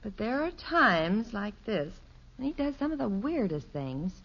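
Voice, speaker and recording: A woman playing a patient, long-suffering middle-aged wife and mother in a 1950s radio sitcom, gently placating and wry. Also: medium pitch, sing-song, measured pace, husky, full character voice. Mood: wry, gentle. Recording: dull 1950s radio broadcast recording.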